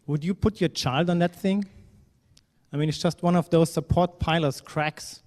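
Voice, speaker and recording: A man's voice: a little high, moderate pace, a little mellow. A man from Germany giving a conference talk in a hall, speaking conversationally with fillers and now and then a phrase that sounds non-native. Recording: reverberant hall.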